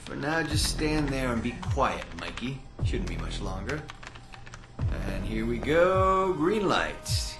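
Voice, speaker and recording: An actor playing a smug tech agent who trains recruits in a video game, casual and teasing in manner. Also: male voice, medium pitch, very animated, slow pace. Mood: smug, offhand. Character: cocky, needling male tech agent and range instructor. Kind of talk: video game cutscene acting. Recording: roomy echo, music behind.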